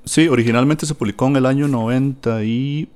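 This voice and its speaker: A man's voice: medium pitch, fairly even intonation, brisk, a little mellow. A man, chatting casually about books on a podcast, full of fillers and tag questions. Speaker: podcast host discussing books. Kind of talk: casual literary chat.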